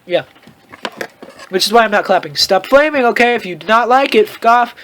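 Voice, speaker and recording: A man's voice: high voice, lively intonation, brisk, fairly bright tone, a little hiss or hum. A man hosting a comedic read-aloud of fan fiction, with theatrical, mocking asides and casual commentary.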